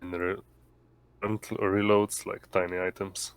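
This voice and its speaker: Man, deep smooth voice, flat intonation, casual chat. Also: slow, dry recording.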